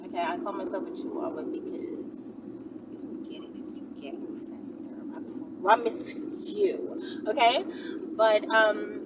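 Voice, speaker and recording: Woman, higher voice, casual sassy vlogger, emphatic phrasing, off-mic, clearly noisy background. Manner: sassy, emphatic, often adds "okay?" and "you know". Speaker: video host answering viewer questions. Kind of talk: casual chatty monologue to camera. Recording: noisy, distant mic, vehicle-like ambience.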